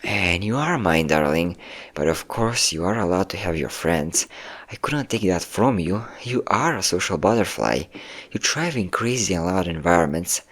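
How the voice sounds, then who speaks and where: A low-voiced, obsessive ex-boyfriend, acted out by a man in an audio roleplay. He is manipulative and possessive, coaxing his lover with pet names while an undercurrent of menace runs through his words. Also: lively intonation, normal speed, fairly bright tone. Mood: menacing, coaxing. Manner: coaxing, menacing, calling listener pet names, nervous chuckles. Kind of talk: scripted ASMR-style audio roleplay.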